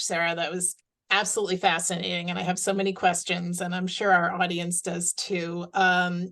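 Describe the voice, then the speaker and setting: A woman's voice: on the low side, fairly bright tone. A woman hosting a webinar on a video call, thanking the speaker and moving to audience questions with frequent ums and restarts. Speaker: webinar host. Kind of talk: webinar moderation.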